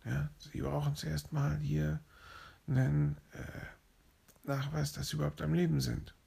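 Guy putting on a bossy parrot policeman, pitched up, gravelly and husky, blustery bedtime-story cop. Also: flat delivery, unhurried, warm tone, heavily acted. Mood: scolding. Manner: officious, scolding, blustering.

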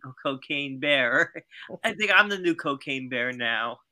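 Tired nasal man with a bright voice. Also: medium pitch, expressive. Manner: halting, repeats words, jokey. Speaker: guest. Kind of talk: casual chat.

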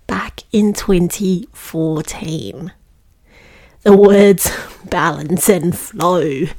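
A woman in her thirties hosts a podcast, speaking slowly and with care. Her voice sits fairly low, and she talks in an earnest, reflective way into a close mic. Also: a little mellow. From Singapore. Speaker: podcast host, teacher wellbeing coach. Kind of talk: scripted podcast monologue.

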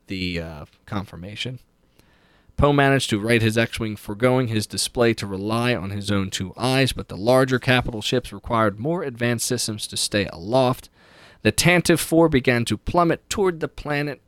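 A man's voice: on the low side, a bit bright, no echo. A man hosting a podcast, casually recapping a book with read-aloud passages and opinionated, conversational asides. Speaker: podcast host.

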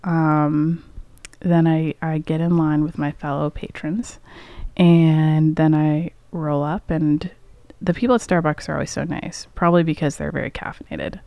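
A woman's voice: sits low, steady tone, a little slow, dry recording, lo-fi sound. A woman co-hosting a casual podcast from a basement apartment, telling everyday stories in a chatty way with fillers and quoted inner thoughts. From Canada. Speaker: podcast co-host. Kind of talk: casual chat. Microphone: studio microphone close up.

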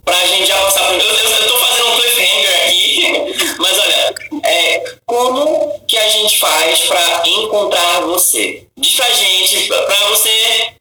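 A man's voice: sits high, measured pace. A man hosting a live stream, reading viewer comments and asking long rambling questions. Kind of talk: live-stream interview chat. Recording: echoey room, faint music.

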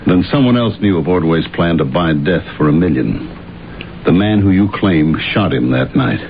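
An actor playing a stern, skeptical police detective in an old-time radio drama, probing and authoritative. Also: male voice, low voice, animated, normal speed. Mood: skeptical, firm. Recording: muffled, noisy old radio recording.

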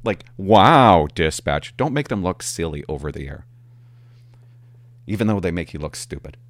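A middle-aged man, a former police officer, telling work anecdotes casually in a halting, animated way and acting out the dialogue. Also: deep voice, dry recording. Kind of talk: casual anecdotal storytelling to viewers.

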